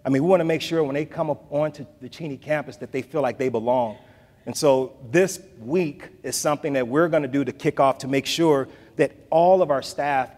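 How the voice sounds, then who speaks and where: A man's voice: mid-range voice, darkish tone. A man in his forties giving a conversational keynote speech from a podium in a large hall, repeating phrases for emphasis. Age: 40s. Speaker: keynote speaker. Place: large hall with bookshelves, audience seated. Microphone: podium mic, filmed from the back of the room. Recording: long hall reverb.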